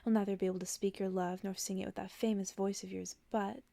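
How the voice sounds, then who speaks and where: A woman voicing a weary, blunt old witch in a soft ASMR storybook reading, dry and chiding in manner. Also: medium pitch, flat delivery, fast, vocal fry, no echo, natural voice. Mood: grave.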